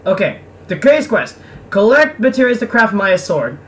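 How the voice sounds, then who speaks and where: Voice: mid-range voice, big pitch swings, normal speed, fairly bright tone, slight background noise. A gamer giving casual gameplay commentary in a sarcastic, foul-mouthed, grumbling way. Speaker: let's player. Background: game music.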